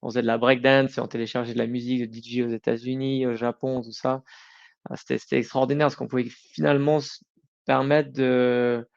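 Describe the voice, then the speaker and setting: A man's voice: medium pitch, level tone, creaky, dull audio. A middle-aged man answering interview questions informally, often breaking off and rephrasing. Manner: informal, halting, self-correcting. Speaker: podcast guest, company founder.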